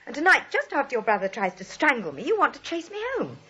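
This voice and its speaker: An actress playing an insistent young fiancée, emphatic and dramatic, in 1940s radio comedy style. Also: female voice, sits high, huge pitch swings, fast talker, sharp tone, slightly rough, heavily acted. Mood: indignant, pleading. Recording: dull old radio recording.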